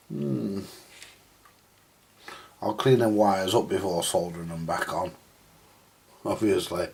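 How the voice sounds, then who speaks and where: A man with a husky voice, giving informal, unscripted hands-on commentary as he mutters through a repair, now and then mildly sweary. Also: low voice, a little mellow, slight background noise. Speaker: host of a vintage radio repair video.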